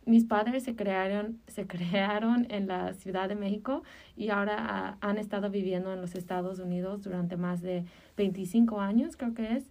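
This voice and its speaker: Distant-miked young woman, mellow tone. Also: mid-range voice, steady tone, normal speed. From Chicago, United States. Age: early 20s. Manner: hesitant, filler-heavy phrasing. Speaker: podcast co-host. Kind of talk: casual podcast discussion. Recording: distant from the microphone.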